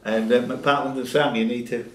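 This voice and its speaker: A man's voice: mid-range voice, fairly even intonation, a little fast. A man calling out players' names and reading the scores in a jokey, bantering way. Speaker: quiz scorer. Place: pub function room. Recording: echoey room.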